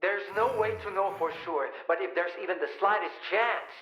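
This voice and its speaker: An actor playing an anxious yet defiant scientist in a video game, with an agitated, defensive manner. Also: male voice, sits high, normal speed. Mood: pleading. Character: anxious, defiant male scientist, son clinging to hope. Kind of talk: dramatic video game dialogue. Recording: echoey room under loud music.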